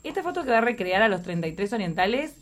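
A woman's voice: medium pitch, big pitch swings, fast, soft background music. A woman with a Uruguayan accent hosting a casual conversational interview in a chatty, informal way. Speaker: interviewer, programme host.

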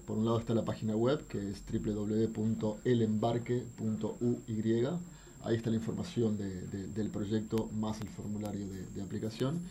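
A middle-aged man with a Uruguayan accent giving explanatory interview answers as a guest, halting and thoughtful, repeating words as he searches for the next one. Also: on the low side, flat delivery, warm tone. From Uruguay, raised abroad in France, Venezuela and Argentina. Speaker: interview guest, visual artist. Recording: faint background noise, drifting off mic.

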